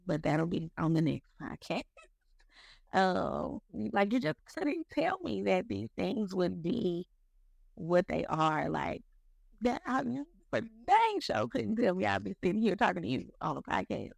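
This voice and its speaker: Very gravelly speaker in their thirties. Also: mid-range voice, very animated, unhurried, no echo. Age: 30s. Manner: rambling, heavy use of "like" and "you know", repeats words. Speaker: podcast speaker. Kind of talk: casual faith talk.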